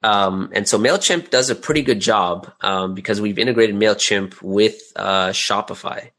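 A man's voice: lowish voice, moderate pace, fairly bright tone. A man speaking as an interview guest, explaining his business informally and often repeating words as he gathers his thoughts. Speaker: interview guest, audio drama creator and business owner. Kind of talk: casual explanatory interview talk.

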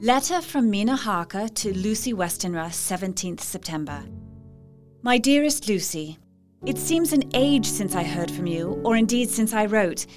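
A woman's voice: medium pitch, expressive, moderate pace, music in the background, lightly acted. A woman playing a devoted, cheerful young newlywed reading her affectionate, old-fashioned letter in an audio drama. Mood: affectionate, chatty.

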